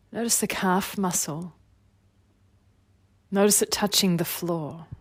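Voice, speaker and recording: Low-voiced woman guiding a meditation, close and dry. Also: dry recording. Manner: soothing, unhurried, gentle instruction with long phrases trailing softly. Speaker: meditation teacher. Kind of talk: guided meditation, body scan narration.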